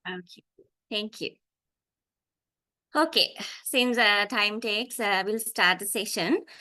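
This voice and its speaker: Bright-voiced Sri Lankan woman leading a workshop. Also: mid-range voice, slow pace. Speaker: psychological counselor leading a workshop. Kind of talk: informal webinar presentation. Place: online video call.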